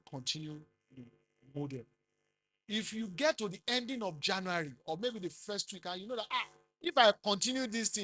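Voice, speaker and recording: Lively Nigerian man giving a talk. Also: sits high, a little hiss or hum. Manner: lively, rises loudly for emphasis, quizzes the audience. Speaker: conference speaker. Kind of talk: business seminar talk.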